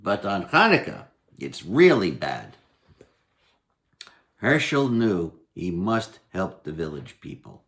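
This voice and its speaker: An older man in his sixties reads a storybook aloud in a dramatic style. His pace is slow and unhurried, with very varied intonation. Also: deep voice, big pitch swings, a bit bright. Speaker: storybook reader. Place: indoors, home dining room.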